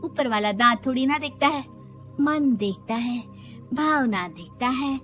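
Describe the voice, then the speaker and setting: A woman's voice: high voice, measured pace, a bit bright, faint music behind. A woman voicing a sassy, defiant young daughter-in-law in a moral story, with a cheeky, argumentative way of talking. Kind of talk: animated moral story voice acting.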